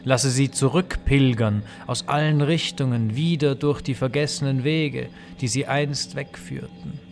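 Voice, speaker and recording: A man narrating a scripted literary text as a measured recitation. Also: medium pitch, fairly even intonation, vocal fry. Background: music.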